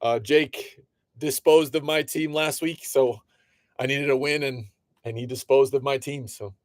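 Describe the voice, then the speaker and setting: A man's voice: medium pitch, fairly even intonation, a little slow, dry recording, clean studio sound. A man from Illinois chatting casually as host of a live sports card break, greeting viewers by name, his voice a little off. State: voice slightly off. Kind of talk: casual livestream chat.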